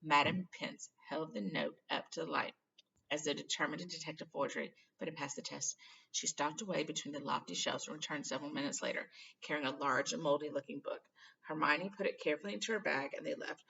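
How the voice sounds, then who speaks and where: A woman reading a children's novel aloud as an expressive storybook narrator. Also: low voice, brisk, vocal fry, lo-fi sound, slight background noise. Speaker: storybook reader.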